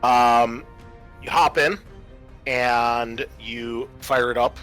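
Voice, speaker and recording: A man with a bright, forward voice runs a tabletop roleplaying game. He narrates descriptively and addresses his players directly, at an average pitch, with faint music playing behind him. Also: fairly even intonation, very slow. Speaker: game master.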